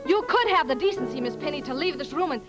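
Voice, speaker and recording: An actress playing a spoiled, lovesick rich young daughter, gushing and melodramatic in old-time radio comedy style. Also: female voice, high voice, expressive, fast talker, over music. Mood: petulant.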